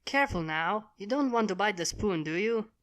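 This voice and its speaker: A woman's voice: medium pitch, animated, moderate pace, fairly bright tone, close to an everyday voice. A woman voicing a caring, slightly anxious female unicorn pony in a soothing way for a story.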